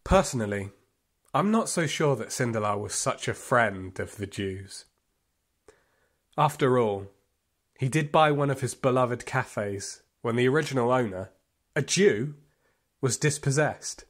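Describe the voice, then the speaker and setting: An actor playing a reflective football fan, narrating his memories of the Nazi era in an audio play in a measured, wry way. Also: medium pitch, expressive. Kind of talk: first-person dramatic storytelling in an audio play.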